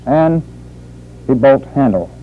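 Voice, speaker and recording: A man working as a military instructor, narrating a training film in a formal, deliberate, measured manner. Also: mid-range voice, lively intonation. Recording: muffled phone-like old training film soundtrack.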